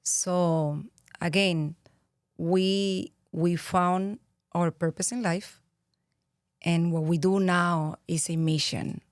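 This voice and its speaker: A low-pitched woman with a Venezuelan Spanish accent talks slowly and earnestly, pausing often to think. Her voice sits dry and close to the mic. She sounds like a podcast guest reflecting in her thirties. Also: fairly even intonation, no room sound. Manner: reflective, earnest, with frequent pauses and fillers. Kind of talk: interview conversation.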